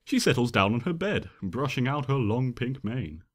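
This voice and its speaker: A man reading fan fiction as an expressive, theatrical first-person narration. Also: mid-range voice, moderate pace. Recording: long hall reverb.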